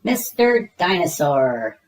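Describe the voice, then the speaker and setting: A woman's voice: fairly low pitch, slow pace, far from the mic, voice pushed up. A woman voicing a small, cheerful girl piglet who is eager and curious. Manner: bright and excited.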